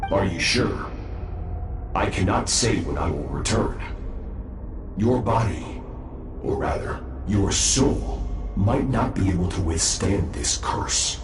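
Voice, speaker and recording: Game casting: supremely self-assured martial master, low and lofty, echoing sound over clear music. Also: male voice, lively intonation. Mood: calm, lofty. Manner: lofty, measured, formal. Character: powerful, self-assured, aloof master swordsman, adult man. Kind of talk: dramatic video game voice acting.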